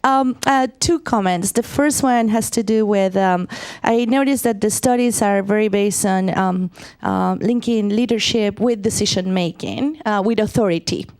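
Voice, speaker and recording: A woman's voice: medium pitch, normal speed, hardly any pauses, a little mellow, smooth. A young woman from Venezuela in the audience, offering comments in a thoughtful, hesitant way. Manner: hesitant, thoughtful, many fillers. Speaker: audience member asking a question.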